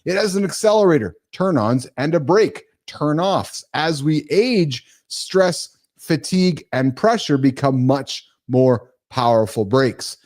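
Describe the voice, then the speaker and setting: Animated middle-aged man hosting a live show. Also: a little slow, few pauses. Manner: animated, calls listeners guys, jumps in pitch. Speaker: host of a live morning show. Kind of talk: casual live-stream talk show.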